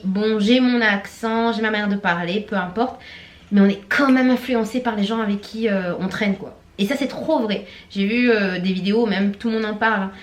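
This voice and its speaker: A young woman from Réunion, speaking, chats in a laughing, lively way with quick asides while she cooks in her home kitchen for a vlog. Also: medium pitch. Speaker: vlogger. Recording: echoey room.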